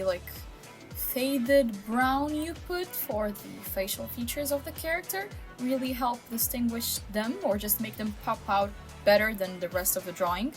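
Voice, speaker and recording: A woman co-hosting a casual art commentary in a chatty, encouraging, informal way. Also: high voice. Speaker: co-host, digital artist. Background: background music.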